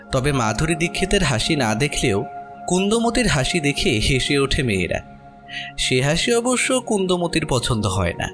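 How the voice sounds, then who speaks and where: A man narrating a horror story expressively from a script. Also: on the high side.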